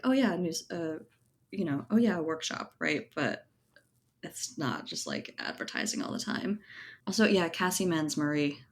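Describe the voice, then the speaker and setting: Woman w/ a low voice, casual podcast guest, thinks out loud, bit of background noise. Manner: conversational, thinking aloud, often tags points with "right?". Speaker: guest, writer and editor. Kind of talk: casual podcast interview chat.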